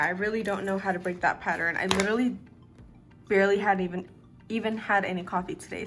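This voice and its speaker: A young woman in her twenties vlogging casually to camera, her voice a little raspy from staying up late, talking in a relaxed, chatty way with plenty of "like" and "literally". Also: medium pitch. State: slightly raspy from lack of sleep. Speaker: budgeting vlogger.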